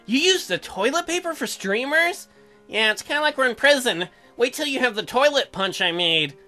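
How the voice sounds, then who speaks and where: A woman's voice: high-pitched, expressive, sharp tone. A woman voicing an earnest, apologetic young newlywed husband who is sweet on his wife, reading visual novel dialogue. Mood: cheerful, eager. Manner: earnest, halting, self-deprecating. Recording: music behind the voice.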